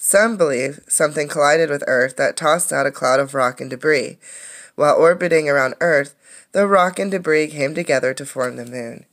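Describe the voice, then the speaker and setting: A woman reading a children's science book aloud like a teacher, explaining things as she goes. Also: low-pitched. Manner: explanatory, pausing to comment and ask questions.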